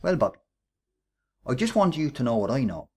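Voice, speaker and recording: Male actor as bullying Irish ringleader, husky and swooping in pitch, sarcastic, menacing, radio drama. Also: very animated, a little fast, darkish tone. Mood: sly, menacing. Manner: taunting, sarcastic, bossy. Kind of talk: radio play acting.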